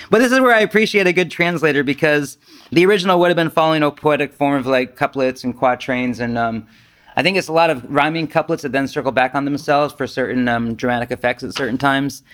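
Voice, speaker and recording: A man's voice: medium pitch, fairly quick, a bit bright. A man speaking as a Zen teacher in an informal dharma talk, with a self-deprecating, rambling manner.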